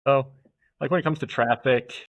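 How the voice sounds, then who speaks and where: A man, a podcast guest, talking in a thoughtful, halting way with many fillers and restarts. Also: mid-range voice, steady tone, no room sound. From United States. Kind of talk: casual conversational interview. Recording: dull, muffled podcast audio.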